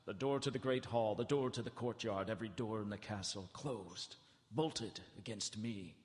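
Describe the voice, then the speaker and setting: An actor playing an earnest, frightened captive in a live radio horror drama, with halting, theatrical delivery. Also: male voice, fairly low pitch, darkish tone, close to an everyday voice. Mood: anxious dread. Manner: theatrical, halting, anxious repetition. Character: earnest, frightened Englishman held prisoner, narrating his journal. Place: large hall stage, live broadcast. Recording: live theatre hall, long reverb.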